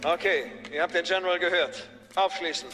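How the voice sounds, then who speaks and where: An actor playing a brisk, casual soldier in a radio play. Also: male voice, sits high, pushed and bright, in a large hall, a little hiss or hum.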